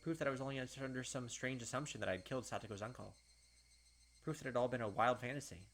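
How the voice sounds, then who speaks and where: A man's voice: mid-range voice, fast talker, a bit bright. A man hosting a let's play, reading visual novel narration aloud and adding casual commentary. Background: game music.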